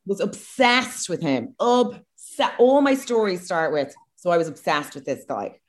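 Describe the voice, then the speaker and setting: A woman's voice: fairly low pitch, sing-song, measured pace. A woman with an Irish accent, co-hosting a casual comedic chat, frank and self-mocking. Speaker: podcast co-host.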